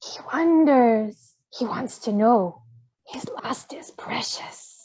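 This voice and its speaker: A woman's voice: a little high, a little mellow, breathy edge, rough recording. A woman voicing a small, wretched, cunning creature with a whiny, hissing voice and broken grammar, at a fantasy script table read. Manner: slow, fawning, broken grammar.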